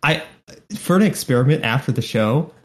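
Dude with a stuffy nose cohosting a podcast, nasal, mid voice, laid back. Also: male voice, mid-range voice, moderate pace. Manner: nasal, relaxed, drawls with fillers. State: stuffy and sniffly from the cold. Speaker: podcast co-host. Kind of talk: casual chat.

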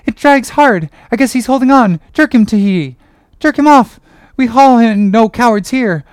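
Woman as crazed ship's boy, high smooth voice, dry sound, excitable chanting, pitiful, audiobook drama. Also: moderate pace, no room sound, lightly acted. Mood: frantic. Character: crazed, pitiful young ship's boy, sing-song and frantic. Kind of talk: dramatic audiobook character reading.

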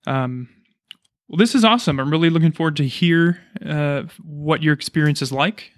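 A man's voice: on the high side, moderate pace, no room sound, clean studio sound. A man hosting a casual interview, chatting and explaining things as he goes. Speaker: podcast host, chiropractor.

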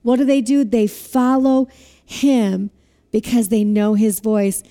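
A woman preaches a sermon in a high, mellow voice. She has a loud voice and keeps asking her listeners to repeat lines back to her. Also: fairly even intonation, slow. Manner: asks the audience to repeat phrases.

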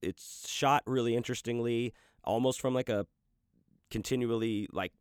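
A man's voice: mid-range voice, fairly even intonation, normal speed, clean tone, no room sound, studio quality. A man hosting a podcast in loose, rambling casual chat, profane and full of restarts, talking about his audiobook narration work.

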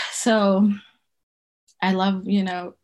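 A woman's voice: mid-range voice, level tone, drawn-out words. A woman in her thirties from Zimbabwe, raised in Botswana, chatting as a podcast guest in a loose, rambling way, often correcting herself and laughing. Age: 30s. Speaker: guest, folk herbalist. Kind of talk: casual interview conversation.

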